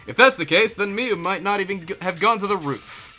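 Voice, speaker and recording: A man's voice: sits high, brisk, pushed and bright, faint music behind, pitched above the performer's own voice. A man in an amateur game fandub voicing an earnest young student detective working through deductions. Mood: puzzled, deducing.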